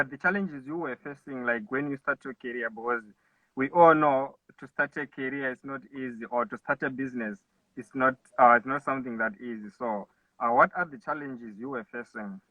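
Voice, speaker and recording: A man hosting an interview, asking questions haltingly as he searches for words. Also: mid-range voice, no echo. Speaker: podcast host. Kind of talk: casual interview. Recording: tinny phone line, distant and dry.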